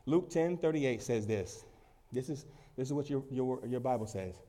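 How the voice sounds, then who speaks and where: A man preaching a sermon, emphatic, swinging between loud peaks and quieter stretches and repeating phrases for weight. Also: mid-range voice, expressive, moderate pace. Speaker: pastor.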